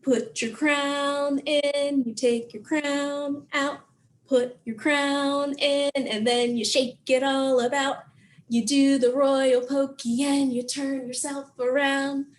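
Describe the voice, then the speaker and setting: A woman's voice: high voice, little pitch movement, takes it slowly. A woman hosting a children's story time, explaining songs and books in simple, encouraging language for preschoolers. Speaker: children's library program host.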